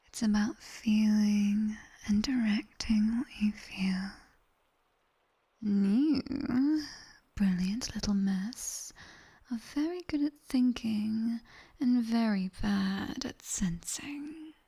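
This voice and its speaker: A sharp-tongued nightmare demoness tutor with a British accent, played by a woman, whispers in a soft, dark ASMR roleplay. Her delivery is very slow and deliberate, teasing yet commanding. The voice is breathy, with a little creak at its edges, and sits close to a binaural microphone. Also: mid-range voice. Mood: dryly teasing.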